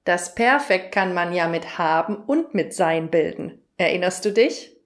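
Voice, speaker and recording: A German woman teaching grammar in a lesson video, explaining clearly and asking the viewer questions. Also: lowish voice, expressive, measured pace.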